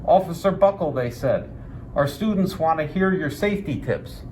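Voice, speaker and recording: A man with a slightly high voice narrates a picture book in very varied, lively intonation. Faint noise sits behind the reading. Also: normal speed, rough recording. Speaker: police officer reading a children's book aloud.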